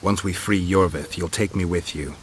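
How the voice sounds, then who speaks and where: Video game mercenary hunter, male actor, stoic and laconic, very deep register, dry understated delivery, faint background ambience. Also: moderate pace, a little hiss or hum, lightly acted. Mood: calm, determined. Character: stoic male monster hunter, low and gruff.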